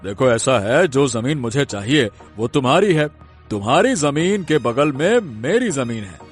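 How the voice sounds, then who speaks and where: Village landowner father for a cartoon, male actor: proud, touchy, very animated delivery over faint music. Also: mid-range voice, measured pace, breathy edge. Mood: pushy. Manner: pompous, easily offended. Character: proud, domineering middle-aged village rich landowner father.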